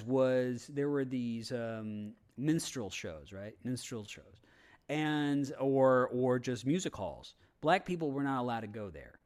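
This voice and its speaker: A man's voice: mid-range voice, darkish tone. Guy on a podcast rambling opinions, keeps stopping and restarting, swears a lot. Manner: halting, rambling, profane. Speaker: podcast panelist. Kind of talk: casual opinionated talk.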